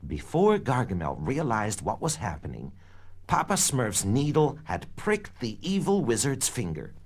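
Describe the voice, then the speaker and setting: Man, children's record narration, medium pitch, expressive swoops, dramatic, musical backing. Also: a little slow. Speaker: storyteller, narrator. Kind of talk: scripted children's read-along narration. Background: background music.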